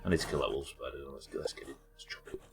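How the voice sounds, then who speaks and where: A British man with a naturally croaky voice, chatting casually and swearing while streaming a game. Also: extremely low pitch, rapid-fire, in a whisper, soft background music. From United Kingdom. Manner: chatty, swears, reads chat aloud. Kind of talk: casual gameplay chat.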